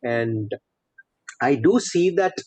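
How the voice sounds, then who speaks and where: A man's voice: medium pitch, lively intonation, slow pace, dark tone, slightly rough, no echo. A middle-aged man with a Sri Lankan accent, talking conversationally about himself as an interview guest. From Sri Lanka and Saudi Arabia. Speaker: podcast guest, coach. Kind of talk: conversational personal account in an interview. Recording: dull, lo-fi, dry audio.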